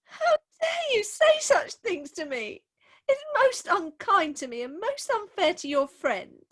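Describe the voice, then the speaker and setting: A woman playing a prim, excitable young Victorian bride in a comic play, with an English accent and emphatic, theatrical delivery, recorded at home over a video call. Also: extremely high pitch, unhurried, full character voice, rough recording. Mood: indignant. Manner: theatrical, emphatic, indignant propriety. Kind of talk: comic stage-play acting.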